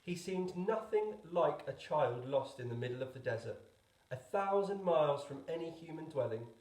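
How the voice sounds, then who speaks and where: A man narrating a storybook as a teacher would, expressively, with deliberate pauses. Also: on the high side, lively intonation, darkish tone, reverberant, poor audio. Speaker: teacher reading a book aloud.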